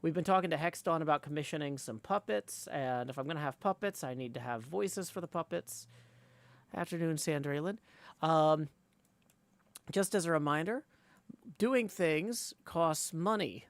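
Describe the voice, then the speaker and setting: A man hosting a live game stream in a casual, rambling way, with a creaky voice. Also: on the high side, normal speed, no echo. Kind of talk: casual livestream chat.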